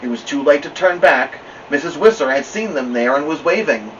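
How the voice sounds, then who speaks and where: A man with a slightly high voice narrates a children's story very expressively, his pitch moving widely. He sounds some way from the mic, in a slightly dull recording with faint noise. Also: animated, brisk, distant mic. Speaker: storybook reader.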